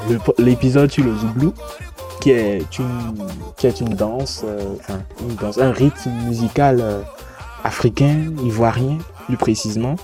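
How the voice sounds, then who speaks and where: A man's voice: mid-range voice, mellow, no echo. A man talking casually about podcasts he likes, often restarting and repeating words, whose first language comes from the Yoruba family. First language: a language derived from Yoruba. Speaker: podcast reviewer. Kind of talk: casual recommendation monologue.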